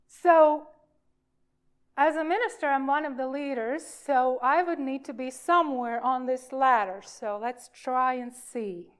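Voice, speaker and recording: A woman minister giving an informal sermon for all ages, speaking in a halting, emphatic way with deliberate pauses. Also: high voice, clean tone.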